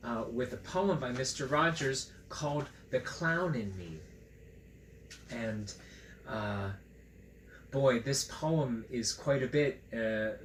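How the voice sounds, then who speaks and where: A man reading aloud and chatting to children in a playful, silly, theatrical way. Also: mid-range voice. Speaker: children's story reader and host. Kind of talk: read-aloud and chat for children. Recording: roomy echo, clear background noise.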